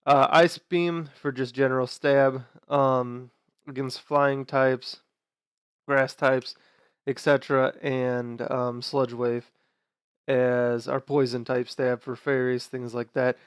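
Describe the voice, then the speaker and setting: A young man hosting a gaming video, talking casually through his team choices while sick, sounding nasal and quieter than usual. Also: mid-range voice, level tone, takes it slowly, clean tone, no room sound, studio quality. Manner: chatty, explains team choices with fillers and restarts. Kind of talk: casual gameplay commentary.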